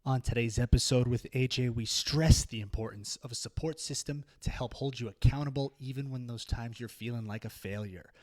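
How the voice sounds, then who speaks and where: A man's voice: medium pitch, a little fast, few pauses, a little mellow. A man hosting a podcast interview in a casual, informal way. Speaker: podcast host.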